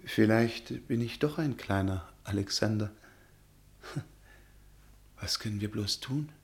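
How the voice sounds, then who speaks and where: An actor in a radio play playing a naive, bookish professor, earnest, formally polite and easily flustered. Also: male voice, on the low side, slow. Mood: rueful.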